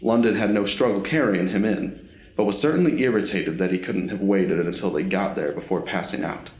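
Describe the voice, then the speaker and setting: Male narrator for a scripted audio drama, lower register, roomy echoing recording. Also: a little fast.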